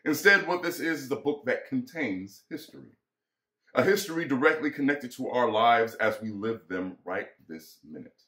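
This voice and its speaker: A man's voice: medium pitch, animated, normal speed, sharp tone. Young man reading in an echoey room. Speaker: performer reading a book aloud. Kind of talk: conversational dramatic book reading. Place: small room indoors, seated.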